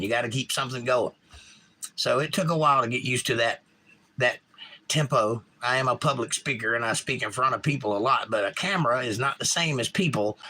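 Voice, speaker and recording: Male stream host with a Georgia accent, bright and forward voice, loose rambling chat. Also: mid-range voice. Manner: rambling, reads chat comments aloud. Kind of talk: casual live stream chat.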